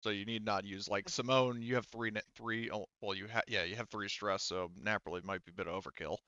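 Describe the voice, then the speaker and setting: Male game master, smooth clear voice, dry close sound, slightly dull audio. Casual, halting tabletop narration. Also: fairly low pitch, fairly even intonation, pushed and bright, no room sound.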